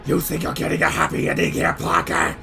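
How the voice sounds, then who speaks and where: A man playing a vengeful, menacing comic-book supervillain in a fan dub, theatrical and forceful, over music. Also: a little fast, natural voice. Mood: gloating menace. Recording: loud music behind.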